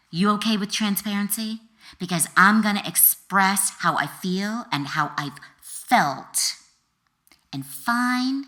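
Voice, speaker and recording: A woman author reading her own memoir as an expressive audiobook narrator, with a dramatic, deliberate delivery and emphatic pauses. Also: fairly low pitch.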